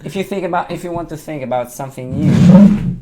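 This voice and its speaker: A man's voice: a little high, brisk, dark tone, poor audio. A young man with a Hebrew accent tinged with British English, co-hosting a casual podcast discussion, thoughtful and hesitant, often restarting his sentences. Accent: Hebrew-accented English with a slight British tinge. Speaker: podcast co-host.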